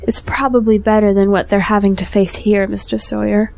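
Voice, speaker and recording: A considerate, hopeful woman of faith played by an actress in an audio drama. She sounds polite and concerned, in a voice of medium pitch. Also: little pitch movement, brisk, creaky, a little mellow, lightly acted. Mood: consoling.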